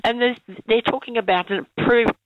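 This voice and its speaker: A woman calling a talkback radio show, croaky from a cold, halting and earnest with many restarts. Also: fairly high pitch, dry recording, highs cut off. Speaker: caller. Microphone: phone line.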